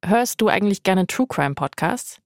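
A woman's voice: mid-range voice, lively intonation, normal speed, dry recording, studio quality. A woman, narrating a scripted podcast as its host.

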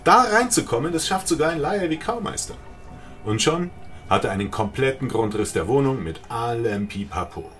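Man as a wry, lively police colleague. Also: mid-range voice, normal speed, soft background music, close to an everyday voice. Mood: amused lecturing. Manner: wry, explanatory. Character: chatty male police colleague, wry and knowing. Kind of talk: radio drama acting.

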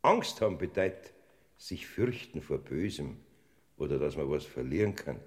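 An actor playing Saint Peter as a fussy but kindly older heavenly gatekeeper, with a Bavarian accent, in a classic radio play. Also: male voice, extremely low pitch, big pitch swings, moderate pace, a little mellow. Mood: soothing. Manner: soothing, turns flustered and bossy.

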